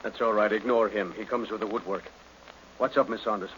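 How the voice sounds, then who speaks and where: An American actor playing a gruff, exasperated police captain in old radio drama, blunt and sardonic. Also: male voice, fairly low pitch, steady tone, a little fast, a bit bright, lightly acted. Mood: sardonic, irritated. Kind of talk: 1940s-50s radio drama acting. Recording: noisy, muffled old radio recording.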